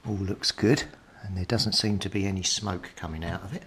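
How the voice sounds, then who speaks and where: Casual British male DIY electronics presenter, deep register, hesitant think-aloud delivery, faintly noisy home recording. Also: steady tone, brisk, a bit bright. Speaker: hobbyist radio repairer presenting a repair video. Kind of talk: casual hands-on repair commentary. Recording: clearly noisy recording with clicks.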